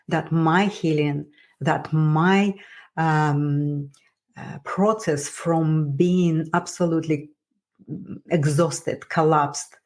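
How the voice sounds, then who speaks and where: A woman with a strong Russian accent, speaking as a wellness coach in an encouraging motivational talk, halting as she searches for words. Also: sits low, darkish tone. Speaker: wellness coach and podcast host.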